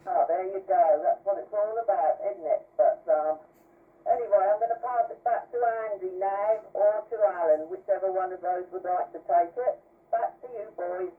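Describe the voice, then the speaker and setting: A man with a high voice chats casually over two-way CB radio. He sounds distant, through a thin, phone-like signal. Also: fairly even intonation, moderate pace. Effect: two-way radio transmission. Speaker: amateur CB radio operator.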